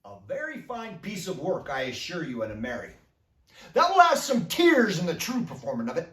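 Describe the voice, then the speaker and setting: A woman's voice: lowish voice, huge pitch swings, moderate pace. A woman performing a Shakespearean comic monologue as a boastful, hammy amateur actor, declaiming with bombast and craving every part. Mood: pompous. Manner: bombastic, declamatory, shouting and swooping. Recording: echoey room, distant microphone.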